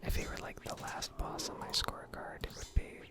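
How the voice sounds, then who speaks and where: A woman speaking in a breathy whisper, giving rambling, unscripted and self-deprecating ASMR commentary over gameplay. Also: brisk. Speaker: gaming video creator.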